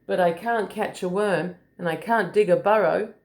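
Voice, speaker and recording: A woman's voice: mid-range voice, normal speed, a little mellow, reverberant, lightly acted. A woman voicing a small, earnest, easily saddened young male wallaby in a children's storybook. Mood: sad, doubtful. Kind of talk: children's storybook read aloud.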